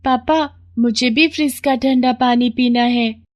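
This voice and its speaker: Casting: small girl, about six, high voice, whiny and insistent, for a cartoon moral tale played by an adult woman. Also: steady tone, a little slow, no room sound, muffled recording, natural voice. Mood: pleading, insistent. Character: pleading, insistent six-year-old girl, poor labourer's daughter. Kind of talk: animated moral story voice acting.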